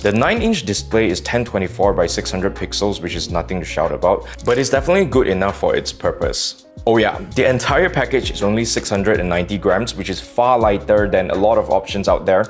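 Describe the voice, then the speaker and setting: A man's voice: on the low side, lively intonation, a little fast, hardly any pauses, faint music behind. A man with a Malaysian accent reviewing gear from a script, dropping wry joking asides. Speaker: tech reviewer.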